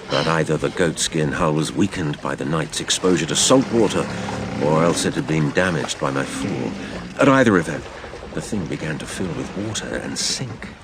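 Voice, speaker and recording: A very low-voiced man narrates an adventure tale with a heavy creak. His theatrical, measured delivery moves widely in pitch, over clearly audible background noise. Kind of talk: dramatised storybook narration.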